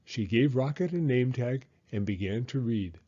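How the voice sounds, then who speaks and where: A man reading a children's picture book aloud as an expressive narrator. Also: mid-range voice, normal speed, dull audio. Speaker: storybook reader.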